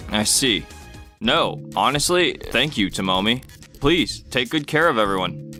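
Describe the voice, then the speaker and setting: A man's voice: medium pitch, big pitch swings, a little slow, bright, forceful tone, faint music behind. A man voicing a mild, reasonable young company founder in a dubbed comic drama. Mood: resigned.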